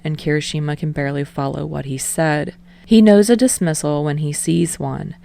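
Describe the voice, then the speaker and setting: Female fiction narrator, low register, slightly gravelly, expressive scripted read. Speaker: audiobook reader of fan fiction.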